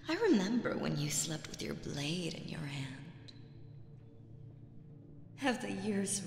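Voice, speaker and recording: A woman playing a seductive, imperious demon queen in an audio roleplay, teasing and condescending, with drawn-out phrasing and breathy asides. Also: fairly low pitch. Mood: teasing, mocking. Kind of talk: slow roleplay audio drama monologue with whispers. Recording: echoey reverberant hall with music behind.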